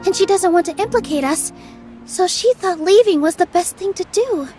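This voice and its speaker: An actress playing a gentle young dancer in a game, soft-spoken and polite. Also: female voice, extremely high pitch, animated, moderate pace. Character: young woman dancer, gentle and warm-hearted. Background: game music.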